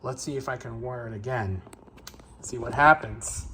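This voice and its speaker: A man giving a casual, unscripted how-to narration as he works on a repair, halting and hesitant as he thinks aloud. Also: medium pitch, sharp tone, a little hiss or hum. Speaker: hobbyist repairer presenting own video.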